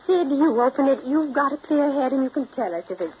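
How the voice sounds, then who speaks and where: A woman's voice: high-pitched, animated, a little fast. An actress playing a fretful, vague, genteel middle-aged mother, languid and plaintive, in 1930s radio drama style. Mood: anxious. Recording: muffled noisy 1939 radio broadcast.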